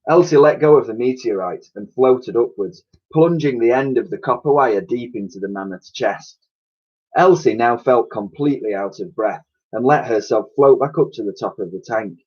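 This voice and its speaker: A man's voice: medium pitch, moderate pace, slightly rough, highs cut off. A man reading a children's story aloud like a teacher, with theatrical, suspenseful narration and emphatic sound effects spoken by mouth.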